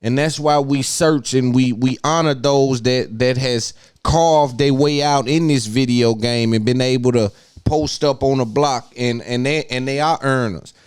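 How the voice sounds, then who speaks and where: A man's voice: medium pitch, a little slow, a little mellow, clean tone, dry recording, clean studio sound. A man hosting a podcast, giving an opinionated monologue and repeating phrases for emphasis. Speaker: podcast host.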